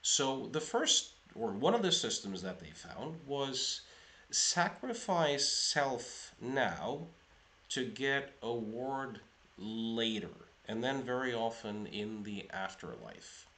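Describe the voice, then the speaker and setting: A middle-aged man from Norway, a psychotherapist, giving a measured, explanatory solo talk to camera. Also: mid-range voice, animated, bright, forceful tone. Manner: measured, explanatory, thinking aloud. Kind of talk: solo video lecture.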